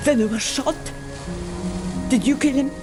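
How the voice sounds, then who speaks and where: A woman's voice: high-pitched, measured pace. An actress playing a stern, suspicious and reserved female academic in a video game cutscene. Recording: loud music under the voice.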